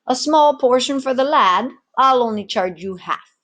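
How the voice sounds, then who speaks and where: A wily old sea dog turned tavern keeper in a storybook, put on by a woman. He talks in folksy, mock-pious sailor slang, pitched up from the reader's normal voice. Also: expressive, unhurried, a bit bright. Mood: dry.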